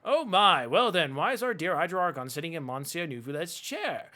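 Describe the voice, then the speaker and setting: A grandiose shopkeeper, put on by a game streamer, full of flourishes and big swoops of pitch. The talk is chatty and mock-polite, declaimed like stage patter over background music. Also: animated, a little fast, pushed and bright. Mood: grandiose, jovial. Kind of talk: reading video game dialogue aloud.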